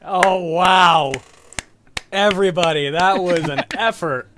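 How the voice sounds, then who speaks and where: A man's voice: sits high, slow pace, noisy background. A young man hosting a show in casual chat. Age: young adult. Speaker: host.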